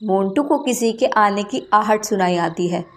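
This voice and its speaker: A woman's voice: medium pitch, moderate pace. A woman reading scripted narration for a children's cartoon story.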